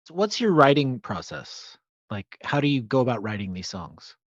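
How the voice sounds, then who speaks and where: A male co-host with a lively, expressive voice asks about songwriting. The recording is dry and a little dull. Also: mid-range voice, normal speed, dry recording. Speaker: podcast co-host. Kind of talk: interview questions.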